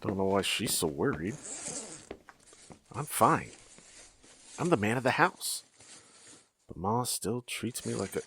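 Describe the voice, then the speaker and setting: Animated, scrappy teenage son. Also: male voice, lowish voice, takes it slowly, pauses often, slight background noise, heavily acted. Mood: grumbling. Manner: eager, defensive, boyish talk. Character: scrappy teenage son, cocky, earnest, protective of his mother. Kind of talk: audio drama acting.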